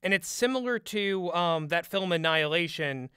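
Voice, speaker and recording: A man's voice: high voice, lively intonation, normal speed, sharp tone, clean tone, no room sound, clean studio sound. A man co-hosting a conversational analytical discussion, thoughtful and articulate in how he builds his ideas. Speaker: podcast co-host and commentator.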